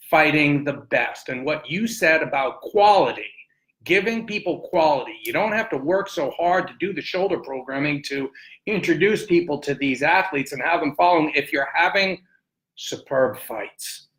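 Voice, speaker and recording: A man's voice: fairly high pitch, expressive, normal speed, fairly bright tone, rough recording. A man hosting a conversational sports interview, talking in a wordy, roundabout way. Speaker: podcast host interviewer.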